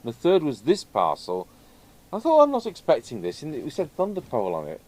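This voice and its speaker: A man's voice: a little high, wildly swooping pitch, a little fast, darkish tone, low-quality recording. A man chatting casually and ramblingly as he presents radio gear in an unscripted video. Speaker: video host and radio technician. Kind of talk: casual unscripted product chat. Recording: clear background noise.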